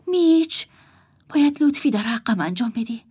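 A woman's voice: on the high side, animated, a little mellow, breathy edge. A woman voicing a desperate young woman, pleading earnestly with her brother.

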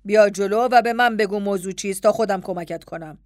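A woman voicing a stern, composed male master of a household in an audiobook, calm and commanding. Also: medium pitch, no echo, clean studio sound, close to an everyday voice. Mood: composed, questioning. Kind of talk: audiobook dialogue.

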